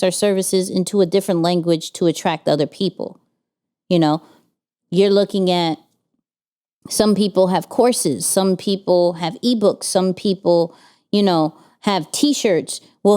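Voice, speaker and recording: Mellow, smooth-voiced woman asking rhetorical questions. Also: medium pitch. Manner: energetic, rhetorical questions, frequent restarts. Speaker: podcast co-host. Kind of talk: casual business chat.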